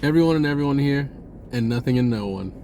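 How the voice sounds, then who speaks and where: A man giving a rambling, informal spiritual monologue in a halting, searching way, often repeating words and slipping into laid-back slang. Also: medium pitch, animated, music in the background. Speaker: spiritual vlogger.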